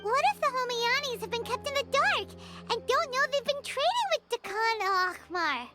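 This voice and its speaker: A squeaky, bouncy little companion. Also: female voice, expressive, normal speed, soft background music, heavily acted. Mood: anxious. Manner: anxious questions, stammers when worried. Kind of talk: game cutscene voice acting. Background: loud game score.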